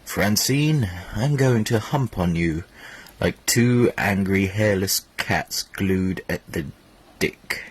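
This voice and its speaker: A crude, grimy lover, voiced by an English man reading a parody story. The voice is low and husky, and it stays close to the reader's own voice. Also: slow. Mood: lustful.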